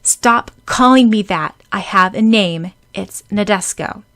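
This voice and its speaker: A woman voicing a spirited teenage schoolgirl with second sight, earnest and quick to protest. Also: medium pitch, animated, lightly acted. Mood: indignant. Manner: indignant, pleading, earnest.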